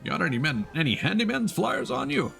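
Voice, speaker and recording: A man's voice: sits high, big pitch swings, fairly quick, vocal fry, voice pushed up, heavily acted. A man voicing chatty, dramatic townsfolk from a fantasy video game, reading their dialogue aloud with exaggerated delivery. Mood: nostalgic, curious. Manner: theatrical, exaggerated. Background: video game music.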